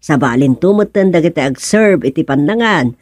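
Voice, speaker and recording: An actress as a talkative village wife with an Ilocano accent speaks in a slightly low voice, close and dry. She has the teasing, familiar manner of radio comedy drama. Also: lively intonation, moderate pace, a little mellow, dry recording. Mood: chatty.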